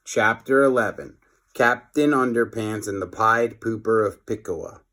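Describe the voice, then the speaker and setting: A man's voice: on the low side, moderate pace, bright, forceful tone. A man narrating a children's story expressively, like a teacher reading aloud to pupils.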